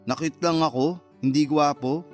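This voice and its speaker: A man playing a smooth, bantering online romancer in a radio drama, speaking in a casual, coy, teasing way. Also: on the high side, steady tone, normal speed, soft background music, natural voice. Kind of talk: Tagalog radio drama dialogue.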